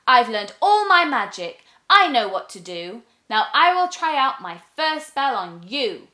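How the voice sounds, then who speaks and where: Lady doing a mischievous little storybook witch, bright and loud, super dramatic chanting, quick temper. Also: high voice, very animated, roomy sound, voice pushed up, exaggerated voice. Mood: gleeful, mischievous. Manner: exclaiming, dramatic spell chanting in rhyme.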